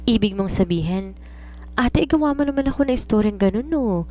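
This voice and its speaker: A woman's voice: mid-range voice, lively intonation, a little fast, a little mellow, voice pushed up, close to an everyday voice. A woman voicing a young housemaid in an audiobook story, naive and curious, who speaks respectfully to her elder. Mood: eager, dreamy. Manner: inquisitive, respectfully addressing an elder. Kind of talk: audiobook character dialogue.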